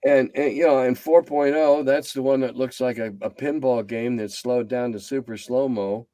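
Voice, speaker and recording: A man's voice: steady tone, moderate pace, dry recording. Blunt, rambling man in his seventies. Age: 70s. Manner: blunt, rambling, drops low and quiet as he winds down. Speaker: guest, game designer. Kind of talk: casual interview chat.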